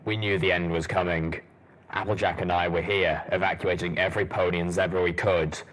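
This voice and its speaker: A man voicing a grim armored undead male soldier pony in an audiobook, speaking slowly and heavily. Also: low voice, flat delivery, fairly bright tone. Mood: solemn. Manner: slow, heavy, solemn.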